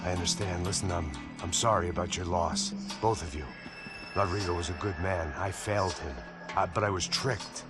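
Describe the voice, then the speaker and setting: An actor playing a weary, hard-drinking ex-cop with a dry, sardonic manner, in a game cutscene. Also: male voice, sits low, fairly even intonation, moderate pace, close to an everyday voice. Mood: apologetic. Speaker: narrator and lead character. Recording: game cutscene with loud music score.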